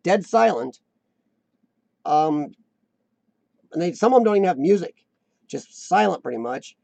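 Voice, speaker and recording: A man's voice: high-pitched, animated, measured pace, creaky, a little mellow, no room sound. A man hosting a casual live-stream chat, rambling and self-deprecating, often restarting his sentences. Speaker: host of a live chat show.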